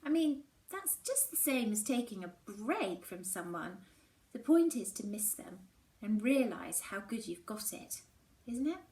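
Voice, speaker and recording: A woman's voice: mid-range voice, sing-song, a little slow, dark tone. Earnest schoolgirl heroine in echoey room woman reading. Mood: reassuring. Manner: hesitant, earnest. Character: guarded, reassuring schoolgirl heroine supporting her friend. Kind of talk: storybook character dialogue. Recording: roomy echo with faint background noise.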